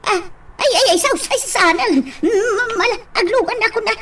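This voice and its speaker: A woman's voice: very high voice, animated, normal speed, heavy background noise. An actress playing a flustered, fussing household woman in a comic radio drama, full of fretful wailing exclamations. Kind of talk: comedic radio drama acting.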